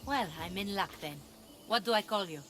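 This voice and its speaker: A woman's voice: mid-range voice, lively intonation, normal speed, lightly acted. A woman voicing a proud, spirited young pilgrim in a cinematic video game, self-assured and passionate. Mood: relieved, friendly. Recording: outdoor ambience noise behind voice. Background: ambient outdoor noise.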